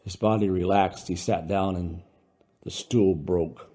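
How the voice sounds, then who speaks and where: Casting: male author-narrator for fiction, deep-leaning pitch, dark and raspy tone. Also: fairly even intonation, unhurried. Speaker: author reading his own story. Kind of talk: scripted storytelling narration.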